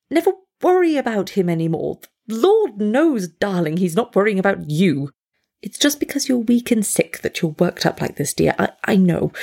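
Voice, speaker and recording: A woman's voice: fairly high pitch, creaky, a little mellow, slightly rough, exaggerated voice. A woman doing a gushing, meddling society lady. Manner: gushing, stammering, theatrical. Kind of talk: dramatic reading of a stage monologue.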